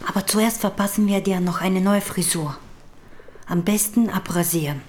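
Actress doing brisk worried woman in radio drama, insistent, average pitch, bit of background noise. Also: a little mellow, natural voice. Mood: urgent, concerned. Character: practical, worried woman urging a friend to hide. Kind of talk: radio play acting.

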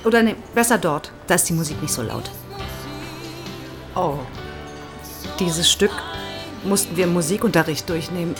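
Actress as lively, low-voiced caring female doctor. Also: moderate pace, pauses often, close to an everyday voice. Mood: surprised, amused. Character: caring, polite female doctor, attentive and chatty. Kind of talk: naturalistic audio drama dialogue. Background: loud music.

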